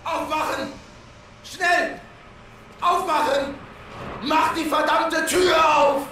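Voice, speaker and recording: A distant, heavily echoing trapped policeman in a German audio drama. He shouts in desperate terror, drawing out his cries in a strained high voice. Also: fairly even intonation, slow, a little hiss or hum, heavily acted. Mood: terrified, desperate. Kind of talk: German audio drama acting of a video game. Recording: very echoey, distant from the mic.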